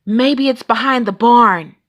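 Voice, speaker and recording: Eager storybook papa bear, played by a woman, folksy and coaxing, with a bright tone. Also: on the high side, measured pace.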